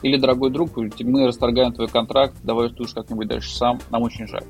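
A man's voice: medium pitch, little pitch movement, quick pace, darkish tone, soft background music. A man speaking as a guest expert in a casual panel discussion, talking in a hesitant, colloquial way with many fillers and restarts. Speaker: marketer.

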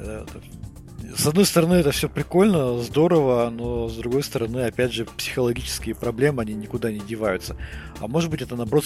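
A man hosting a casual podcast, talking in a reflective, conversational way. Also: mid-range voice. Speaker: podcast host.

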